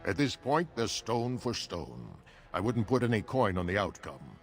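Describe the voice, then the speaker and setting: Low, animated dwarf steward. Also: male voice, moderate pace, soft background music. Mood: wry. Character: discreet, chatty male dwarf political steward. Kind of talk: video game dialogue acting.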